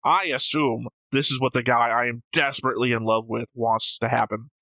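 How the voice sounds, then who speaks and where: Casting: male co-host for casual anime talk, bright forceful voice, dry close sound, rambling and argumentative. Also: mid-range voice, steady tone, fairly quick, no echo. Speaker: reaction show co-host.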